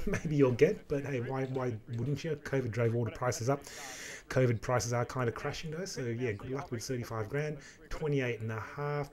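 A man in his thirties with an Australian accent, casually talking through car auction listings on a home livestream in a small room. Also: medium pitch. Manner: relaxed, chatty, rambling with many fillers. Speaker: car enthusiast streamer.

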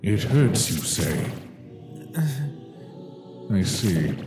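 A man voicing a cold, condescending mad scientist in a comic dub, formal, measured, precise and menacing. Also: low voice, big pitch swings, heavily acted. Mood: clinical, detached. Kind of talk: animated comic dub acting. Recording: echoey, with music behind.

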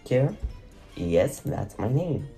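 A man's voice: mid-range voice, big pitch swings, slow pace, gravelly, warm tone, soft background music. A man with a Russian accent casually commentating a game at home, musing, correcting himself and laughing at himself. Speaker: gaming channel host. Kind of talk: casual let's-play commentary. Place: home room.

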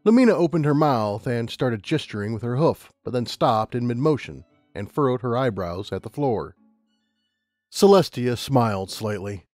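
A man's voice: mid-range voice, lively intonation, moderate pace, a little mellow, dry recording, soft background music. A man reading scripted audiobook narration as the narrator of a story.

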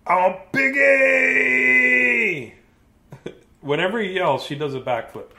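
Middle-aged man with a high, animated voice. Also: a little fast. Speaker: children's bedtime story reader. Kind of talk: casual, playful read-aloud chat. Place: small cluttered room indoors.

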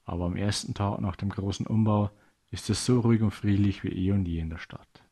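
A man narrates a scripted story for children. His voice sits a little low and has a husky, unpolished grain. He delivers the text as a storyteller. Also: fairly even intonation, fairly quick, mellow.

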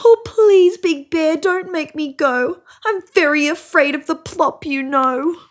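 A woman voicing a group of frightened little rabbits in a storybook, crying out in high, squeaky, panicked voices. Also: big pitch swings, slow, darkish tone, exaggerated voice. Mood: pleading.

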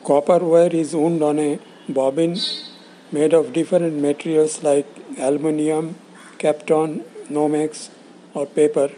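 A man giving a scripted instructional narration in a measured, explanatory way, in short phrases. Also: on the high side, flat delivery, warm tone, a little hiss or hum, low-quality recording. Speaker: instructor presenting components.

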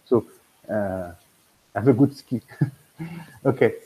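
A man's voice: medium pitch, animated, slow pace, mellow, slightly rough, low-quality recording. A man in his fifties with a French accent, talking on a video call as an admissions consultant, rambling with many fillers and restarts. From France. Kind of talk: video call webinar talk. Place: room at home.

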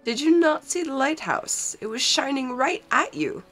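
A woman with a stuffy cold reads out a short, fussy harbor mayor from a game, slightly raised and lively in tone. Faint game music hums underneath. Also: high voice, normal speed, voice pushed up. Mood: welcoming. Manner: read aloud from on-screen text.

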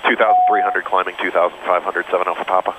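A man's voice: low voice, flat delivery, rapid-fire, muffled recording. A man speaking as a pilot in clipped aviation radio calls over a cockpit intercom. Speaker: private pilot. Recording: noisy aircraft cockpit radio audio. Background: airplane engine drone.

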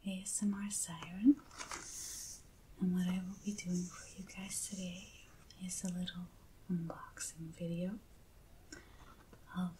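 A woman speaking in a hushed, breathy whisper in a gentle ASMR style, close to the microphone. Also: on the low side, poor audio. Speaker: ASMR video host. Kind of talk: soft ASMR unboxing talk. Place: small indoor set at a table. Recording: clear background hiss.

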